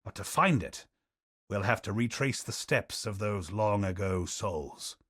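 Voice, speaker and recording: A grave, learned expedition leader. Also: male voice, lowish voice, animated, a bit bright, breathy edge, no room sound, clean studio sound, exaggerated voice. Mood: steady. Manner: measured, solemn storytelling. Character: grave male expedition captain, learned and wary. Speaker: game character. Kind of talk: video game voice lines.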